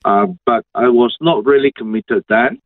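A middle-aged man with a Malaysian accent, a pastor answering interview questions in halting, measured phrases over a video call. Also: medium pitch, dry recording. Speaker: senior pastor, interview guest. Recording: thin phone-like video call audio.

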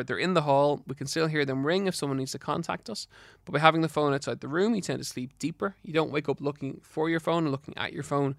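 A man's voice: medium pitch, gravelly, fairly bright tone, no echo, clean studio sound. An Irish man with a slight lisp hosting a health podcast solo, giving advice in an earnest, encouraging, instructive way. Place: studio.

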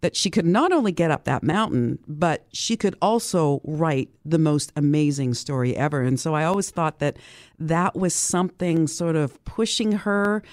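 A woman's voice: sits low, normal speed, darkish tone, no room sound, clean studio sound. A woman in a casual book discussion, talking as a club participant and acting out reactions as she retells things.

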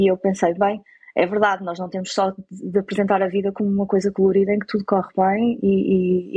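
A woman with a European Portuguese accent talking casually and reflectively, in a halting, thoughtful way. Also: medium pitch, warm tone, husky, highs cut off. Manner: halting, thoughtful, repeats words.

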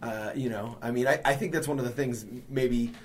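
A man's voice: medium pitch, a little fast. A man hosting a podcast as the editor, talking casually and hesitantly with many fillers.

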